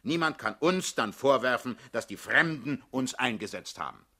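A hard-headed older revolutionary boss in a radio drama. His voice sits at a middle pitch with a bright, forceful edge. He lectures with pragmatic, condescending authority. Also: lively intonation, moderate pace. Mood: calculating, patient. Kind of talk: theatrical radio drama acting.

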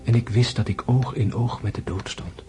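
An actor playing a dying wounded man in a radio drama, calm and detached, speaking in a hushed, halting, meditative way. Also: medium pitch, over music, close to an everyday voice. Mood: serene, musing. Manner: slow, hushed, pensive, halting.

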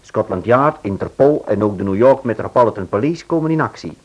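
A man's voice: fairly low pitch, animated, brisk, a little mellow, breathy edge, dull audio. A man narrating and announcing a radio detective serial in a formal, dramatic manner. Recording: hissy old radio recording.

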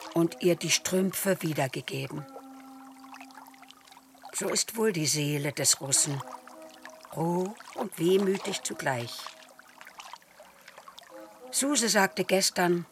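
A woman reading as an elderly mother keeping a wartime diary, calm and measured, in a documentary. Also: low-pitched.

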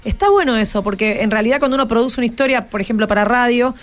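A woman's voice: on the high side, rapid-fire, faint music behind. A woman with an Argentine accent hosting a casual, educational radio interview, encouraging and full of short questions. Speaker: radio host interviewing schoolchildren.

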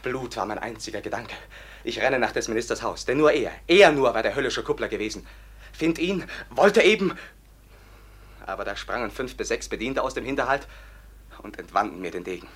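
Desperate young Bohemian nobleman, husky voice, earnest and fervent radio-drama delivery. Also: medium pitch, lively intonation, fairly quick, fairly bright tone. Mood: bitter, outraged. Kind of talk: classic German radio play acting.

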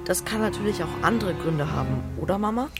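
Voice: mid-range voice, animated, a little fast, close to an everyday voice. A performer playing an anxious, inquisitive young boy in a German radio drama, asking naive, insistent questions. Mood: puzzled, inquisitive. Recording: loud music and sound effects behind.

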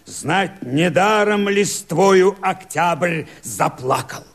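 A weary Cossack mutineer speaks in theatrical radio-play verse. His voice sits fairly high for a man. He declaims slowly and emphatically, defiant toward his leader. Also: lively intonation, full character voice. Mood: weary defiance.

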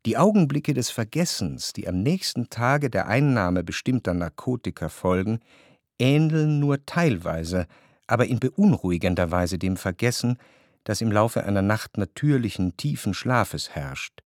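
An actor playing a reflective, cultured man who recalls his past in a literary radio drama, with measured, precise diction. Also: fairly low pitch, lively intonation. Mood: pensive. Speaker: narrator.

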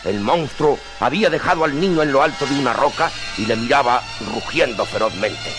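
A man's voice: mid-range voice, lively intonation, brisk. A man narrating a 1950s radio serial from a script, solemn and dramatic. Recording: vintage radio drama with music.

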